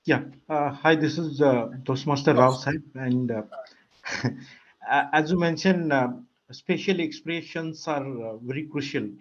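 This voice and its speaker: A man taking part in a workshop, asking his question hesitantly and haltingly over a muffled connection. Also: fairly high pitch.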